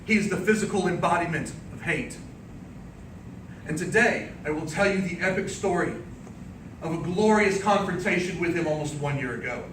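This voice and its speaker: A man with a fairly high voice tells an epic tale as a contest speech. His phrasing is theatrical, deliberate and declamatory. He is heard from a distance in an echoing room, with noticeable noise behind him. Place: indoor room before an audience. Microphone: distant microphone. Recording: roomy, distant microphone, clearly noisy background.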